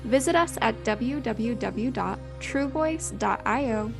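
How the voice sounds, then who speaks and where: A woman's voice: a little high, expressive, slow pace, creaky. A woman reading a polished, promotional sponsor message. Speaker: ad announcer. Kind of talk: scripted sponsor read. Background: music.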